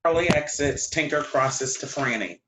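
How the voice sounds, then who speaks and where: A man's voice: fairly high pitch, moderate pace, a bit bright, slight background noise. A man reading out stage directions in scripted narration at a play reading. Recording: echoey room.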